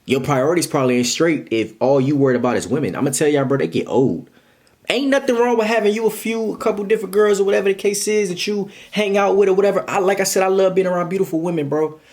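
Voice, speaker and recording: A man's voice: high-pitched, fast talker, a little mellow. A man in his twenties giving blunt, repetitive life advice straight to his viewers in a casual monologue. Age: 20s.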